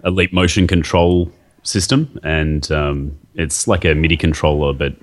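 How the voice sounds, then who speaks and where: A man's voice: a bass voice, steady tone, a little slow. A man interviewed as a guest artist, talking casually and hesitantly, with many fillers and restarts.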